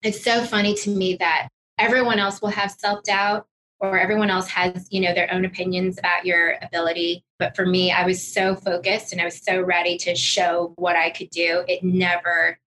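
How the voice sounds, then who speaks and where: A woman's voice: on the low side, level tone, moderate pace, pushed and bright, slight background noise, low-quality recording. A woman speaking as an interview guest, telling personal stories conversationally with frequent "you know" asides. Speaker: podcast guest, financial services executive.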